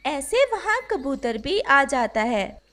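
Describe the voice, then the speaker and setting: High-pitched woman narrating a cartoon story. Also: big pitch swings, takes it slowly, fairly bright tone.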